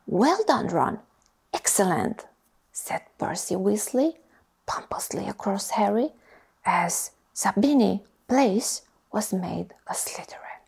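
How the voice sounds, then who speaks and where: Lady whispering a bedtime story real slow, husky and a bit gravelly. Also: medium pitch. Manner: soft, unhurried, breathy whisper. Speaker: narrator. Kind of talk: ASMR whispered story reading.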